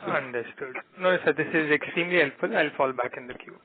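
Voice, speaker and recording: A man's voice: on the high side, brisk, slight background noise. An Indian-accented man, an analyst, politely asking questions on an earnings call. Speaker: analyst calling in. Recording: dry, narrow phone line.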